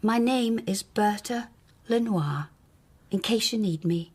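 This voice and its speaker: A hotel chambermaid in a radio play, her mid-pitched voice noticeably husky. She is matter-of-fact and gossipy, confiding what she knows with wary helpfulness. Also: slow, a little mellow. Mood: wary, helpful. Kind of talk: radio drama acting.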